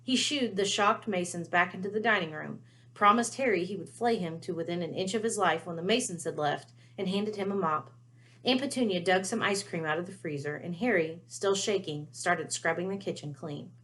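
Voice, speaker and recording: A woman with a lowish voice reads a children's story aloud. Her narration is expressive, in the style of a storyteller reading a chapter to listeners. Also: normal speed.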